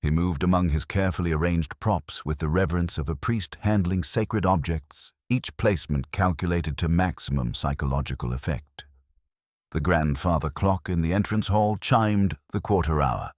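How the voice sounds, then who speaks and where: A man's voice: low-pitched, moderate pace, a little mellow, dry recording, clean studio sound. A man reading a scripted mystery story with ornate, dramatic storytelling.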